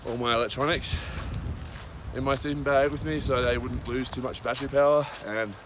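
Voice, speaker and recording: A man's voice: medium pitch, fairly even intonation, brisk, noisy background. Rambling man vlogging outdoors. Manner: rambling, many ums and tangents. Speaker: long-distance hiker. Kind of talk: casual daily trail vlog. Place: outdoors on a cold trail.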